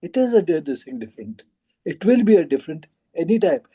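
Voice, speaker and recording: A man speaking in a halting, rambling way in an informal discussion. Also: a little high, very animated, warm tone, husky. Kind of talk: informal book club discussion.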